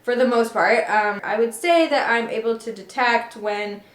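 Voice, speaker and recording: A woman's voice: a little high, moderate pace, reverberant. A woman in her twenties whose first languages are Russian and Ukrainian, vlogging from home and reading emails aloud with sarcastic, mocking asides. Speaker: artist and vlogger. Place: home room, indoors.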